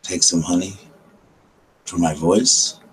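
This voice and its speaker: Deep, low-voiced man in soft chat. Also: steady tone, husky, low-quality recording. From South Carolina. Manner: talks low and slow, many ums, greets viewers tenderly. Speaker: host of a late-night live chat stream. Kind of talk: soft late-night ASMR chat with viewers.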